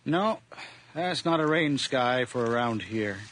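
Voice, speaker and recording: An actor playing a kindly, proud old farmer in a radio drama, folksy and a little hesitant. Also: male voice, mid-range voice, lively intonation, a little slow, a bit bright. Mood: musing. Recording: clearly noisy background.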